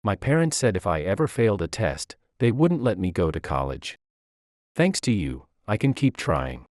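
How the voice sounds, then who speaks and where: A man's voice: on the low side, very animated, normal speed, a little mellow, dry recording, studio quality. A man voicing a shy, grateful teenage schoolgirl in a narrated story. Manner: nervous, friendly.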